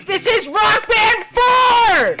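A young man streams a video game from home, reacting live in casual slang. He is excitable and swears freely. His words come out slowly and drawn out. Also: very high voice, raised voice, soft background music, some chatter behind. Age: young adult. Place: indoors, small home room.

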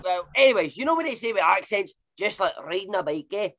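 A man's voice: high-pitched, expressive, fast talker, a bit bright, slight background noise. A man with a Glaswegian accent playing a blunt, slangy working-class actor who swears through his banter in a comedy sketch. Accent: Glaswegian Scottish. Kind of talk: comedy audio sketch acting.

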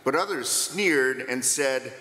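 A middle-aged man reading scripture slowly and expressively at a church lectern. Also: mid-range voice, animated, bright, forceful tone. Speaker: scripture reader. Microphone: lectern mic. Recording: roomy church with long reverb.

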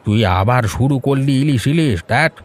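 A man's voice: medium pitch, brisk, natural voice. A man voicing a kindly middle-aged family man in a cartoon fairy tale, plain-spoken, familiar and firm but obliging. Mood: insistent.

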